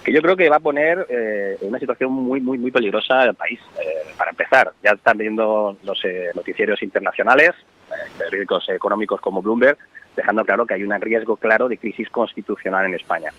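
A man with a low, husky voice who delivers worried, analytical commentary at a fast pace, often pausing on "eh". He comes through a dull, lo-fi line. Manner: worried, analytical, with frequent "eh" fillers. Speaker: economic commentator. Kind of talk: radio commentary.

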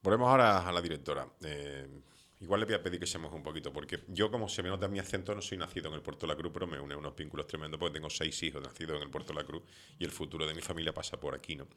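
Middle-aged guy hosting an interview, really low voice, talks fast. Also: fairly bright tone. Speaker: host interviewer. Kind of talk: conversational interview with long opinionated questions.

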